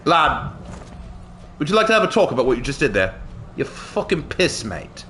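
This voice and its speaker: A man's voice: a little high, very animated, moderate pace, a bit bright, slight background noise. A man putting on a silly, cheeky, theatrical British accent as a chummy gamer lad, chatting casually on a game live stream. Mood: scolding. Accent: put-on British.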